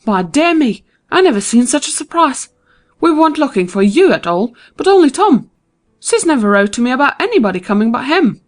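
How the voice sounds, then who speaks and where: A man's voice: extremely high pitch, sing-song, fairly quick, pitched above the performer's own voice. A male narrator voicing a fussy, hot-tempered older farm aunt who scolds and exclaims in folksy speech. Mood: delighted.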